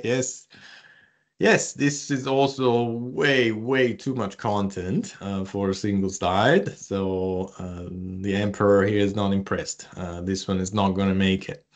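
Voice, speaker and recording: A man from Italy with an Italian accent, presenting an online workshop and chatting with the audience, with many fillers and restarts. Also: on the low side. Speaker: research communication presenter.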